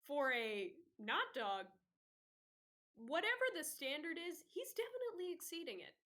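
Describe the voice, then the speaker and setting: A woman with a fairly high voice, running a tabletop game in loose, rambling chat. She circles back on her sentences, fills gaps with "like" and "um," and breaks into laughter easily. Her tone stays casual and unpolished. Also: sing-song, moderate pace, sharp tone. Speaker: game master.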